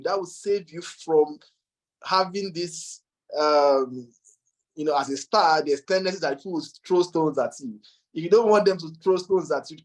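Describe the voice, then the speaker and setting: A man's voice: a little high, poor audio. A man on an online panel giving earnest, repetitive career advice, originally from Nigeria.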